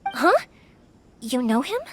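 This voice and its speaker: Spirited young game heroine, high lively voice, earnest n caring. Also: female voice, slow, a little hiss or hum, exaggerated voice. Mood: friendly. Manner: informal, earnest, expressive. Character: bright, caring, spirited young woman, fireworks maker. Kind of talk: English video game voice acting. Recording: music under the voice.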